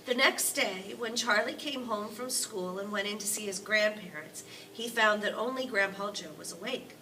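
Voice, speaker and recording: A woman storyteller with a mid-pitched voice reads to a child, expressive and chatty. She is a little away from the mic, with clear noise behind her. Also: moderate pace. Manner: prompting with questions. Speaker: read-aloud storyteller. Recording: distant mic, clearly noisy room.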